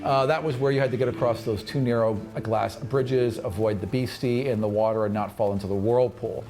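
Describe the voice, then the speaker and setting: A man's voice: medium pitch, steady tone, a little fast, over music. A man speaking as game master and host of a fantasy tabletop podcast.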